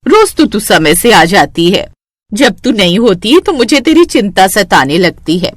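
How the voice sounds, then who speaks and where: A woman's voice: medium pitch, sing-song, measured pace, no room sound, studio quality. Woman as a motherly, fretful older neighbour aunt. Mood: caring concern. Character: caring, worried older neighbour aunt, egg seller.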